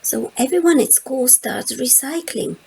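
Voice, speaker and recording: A woman reading a children's picture book aloud in a slow, deliberate and clear manner. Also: sits high, lively intonation, darkish tone.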